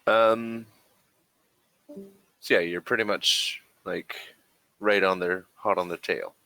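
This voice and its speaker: A man running a tabletop game as game master, narrating casually and haltingly as he thinks aloud. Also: low-pitched, steady tone, gravelly, pushed and bright, no echo.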